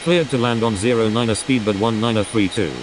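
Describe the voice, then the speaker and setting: A man's voice: fairly low pitch, brisk, close to an everyday voice. A man playing a sober, businesslike airline pilot reading procedural radio calls in a simulator game. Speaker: pilot on air traffic radio. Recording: clearly noisy, steady background hum.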